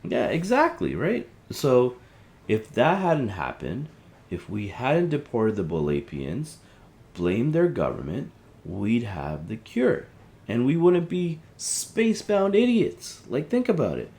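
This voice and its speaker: A man playing an agitated, conspiracy-minded husband in an audio drama, rambling, halting and searching for words. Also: medium pitch, huge pitch swings, darkish tone, exaggerated voice. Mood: insistent, suspicious. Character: agitated conspiracy-minded husband piecing together a dystopian plot. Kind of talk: audio drama, conversational dialogue.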